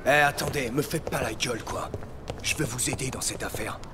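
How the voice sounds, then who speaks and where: A man's voice: medium pitch, a little fast, noisy background, lightly acted. A man playing an eager, pushy federal drug agent in a French audio crime drama, insistent and coaxing.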